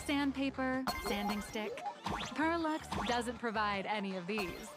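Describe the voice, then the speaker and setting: A woman's voice: fairly high pitch, a little slow, loud music behind the voice, lightly acted. A woman voicing an enthusiastic, showy corporate guide who presents model kits in a scripted video game. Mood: proud, instructive.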